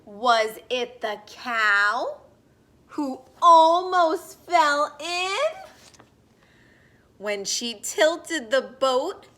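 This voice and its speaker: A woman reading a children's picture book aloud expressively, in a sing-song way, pausing to put questions to young listeners. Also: high voice, lively intonation, pushed and bright.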